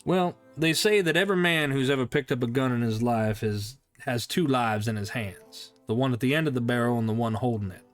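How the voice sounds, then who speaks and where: A man voicing a folksy old western man who speaks in a slow drawl about a family heirloom gun. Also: mid-range voice, faint music behind, lightly acted. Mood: ominous.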